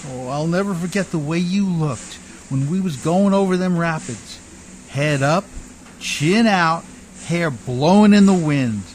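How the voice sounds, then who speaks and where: An actor playing a rough-spoken, warm riverboat man in love, in old-time radio drama style with colloquial, hearty talk. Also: a little high, lively intonation, a little slow, slight background noise, poor audio. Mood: fond, elated.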